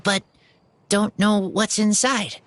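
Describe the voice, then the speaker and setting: A woman playing an earnest, shy teenage boy raised by wolves in a video game, speaking in blunt, halting broken phrases. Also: medium pitch, steady tone, slight background noise, close to an everyday voice. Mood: hesitant, curious. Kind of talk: video game character voice acting. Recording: faint music behind the voice.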